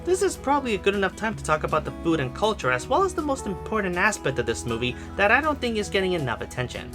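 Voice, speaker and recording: A man delivers an opinionated film review over music. His pitch sits a little high and moves in lively swings, and his wording is casual, emphatic and salty. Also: quick pace. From Puerto Rico. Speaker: movie reviewer. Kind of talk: scripted review narration, casual and profane. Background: music behind the voice.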